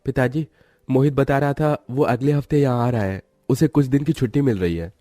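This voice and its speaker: A man's voice: medium pitch, fairly quick, mellow, dry recording, soft background music, voice pushed up, close to an everyday voice. A man voicing an earnest adult son and husband who explains things in a family story. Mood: informative.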